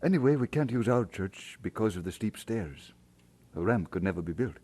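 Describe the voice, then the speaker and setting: An actor playing an earnest, modest Scottish minister in an audio drama, with a husky voice and expressive delivery. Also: male voice, lowish voice, moderate pace.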